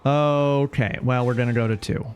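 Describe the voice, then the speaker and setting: A man's voice: mid-range voice, steady tone, moderate pace, a little mellow. A man streaming a video game, joking, rambling and talking back to the characters. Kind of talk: casual game commentary.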